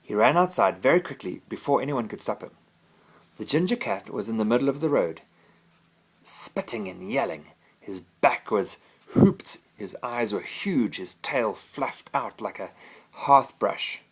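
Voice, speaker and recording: A man's voice: fairly low pitch, expressive, unhurried, breathy edge, low-quality recording. A man reading a children's bedtime story expressively, as a father to his child.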